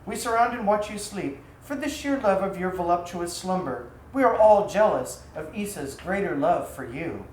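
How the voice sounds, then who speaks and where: A man voicing adoring harem women in a storybook tale, gushing and imploring as they flatter their mistress. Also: high-pitched, normal speed, few pauses. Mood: pleading, adoring. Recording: roomy echo, faint background noise.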